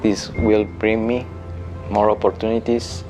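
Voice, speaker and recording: A man's voice: fairly low pitch, little pitch movement, a little slow, over music, low-quality recording. A man with a Mexican accent, a native Spanish speaker and web developer, talking about himself in a documentary interview. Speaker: web developer, bootcamp student.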